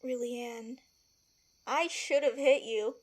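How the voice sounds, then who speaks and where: A woman's voice: on the high side, fairly even intonation, takes it slowly. A woman acting a feisty, protective palace servant in an audio drama, blunt and emphatic. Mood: shocked, exasperated.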